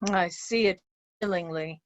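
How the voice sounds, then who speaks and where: A woman's voice: on the low side, a little slow, natural voice. A woman playing a grieving, blind old earl in readers' theatre Shakespeare, sorrowful and pleading.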